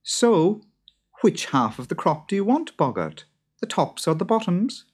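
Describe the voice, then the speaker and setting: A man voicing a clever farmer's wife in a storybook, speaking brisk and persuasive. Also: high-pitched, very animated, a little mellow, breathy edge, voice pushed up, full character voice.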